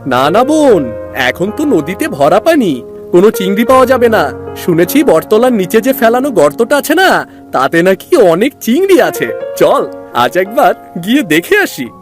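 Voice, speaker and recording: A woman acts out a young boy in a village cartoon. He is a protective big brother, brisk and a little bossy, with a very animated delivery. Music runs clearly behind him. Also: mid-range voice, close to an everyday voice. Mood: reasoning. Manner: brisk, reassuring, mildly bossy. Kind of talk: Bengali cartoon story voice acting. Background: music and rain.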